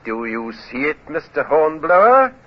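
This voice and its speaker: An actor playing a mad, suspicious older naval captain in a theatrical radio drama style, with a rough, raspy voice. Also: male voice, a little high, very animated, unhurried. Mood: sly, menacing. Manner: menacing, theatrical, swinging from soft to shouting. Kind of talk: 1950s radio drama acting. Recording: muffled old radio recording.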